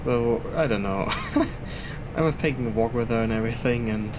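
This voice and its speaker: A man's voice: medium pitch, normal speed, background noise. A young man, a native German speaker, chatting casually in English through a flight sim session at his home PC, rambling and chuckling between thoughts. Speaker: flight simulator streamer. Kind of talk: casual gameplay commentary.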